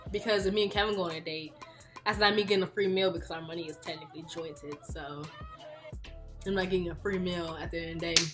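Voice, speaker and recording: A woman's voice: lowish voice, steady tone, quick pace, fairly bright tone, faint music behind. A woman in her early twenties, chatting casually and playfully through a question-and-answer vlog. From Houston, Texas. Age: 20s. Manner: chatty, playful, laughs often. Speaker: YouTuber.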